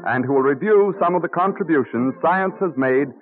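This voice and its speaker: A tinny, band-limited old radio broadcast carries an American man's voice, pitched a little high. He announces in a formal, stately 1930s style, reading scripted copy with measured care, with music around the program.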